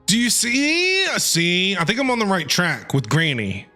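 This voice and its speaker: A woman's voice: on the low side, huge pitch swings, unhurried, sharp tone. A woman streaming a game, giving casual, chatty, reactive commentary as she plays. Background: game music.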